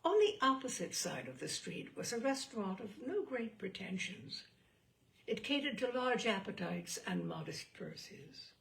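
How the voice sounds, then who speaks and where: Woman narrating an old short story, really creaky voice, theatrical, unhurried, pitch swings around a lot. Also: very animated, a bit bright, low-quality recording. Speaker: story reader. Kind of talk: expressive audiobook narration of classic short fiction.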